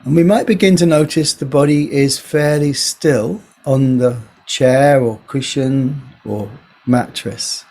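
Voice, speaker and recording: A man leading a guided body scan meditation as a teacher, speaking gently in short phrases with unhurried pauses. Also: mid-range voice.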